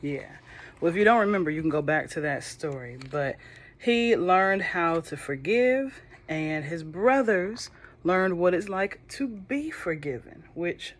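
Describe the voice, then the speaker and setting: A woman reading a storybook aloud to children at an unhurried, slow pace. She talks gently and chattily, stopping to ask the kids questions between pages. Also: on the low side, lively intonation.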